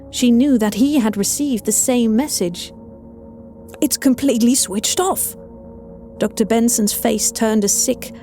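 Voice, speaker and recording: A woman narrating a scripted horror story in a measured, dramatic storytelling manner. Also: a little high, mellow. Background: faint music.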